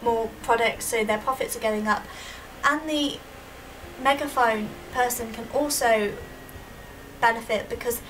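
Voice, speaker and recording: High-pitched young woman, distant mic. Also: unhurried, a bit bright. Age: young adult. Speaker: university student presenter. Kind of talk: prepared student presentation. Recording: distant microphone with audible background noise.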